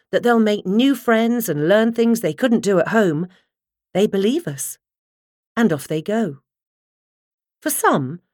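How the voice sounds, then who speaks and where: A woman reading a nonfiction audiobook in a measured, articulate way, close to the microphone. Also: fairly low pitch, darkish tone, no room sound. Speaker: audiobook narrator. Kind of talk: scripted nonfiction audiobook narration.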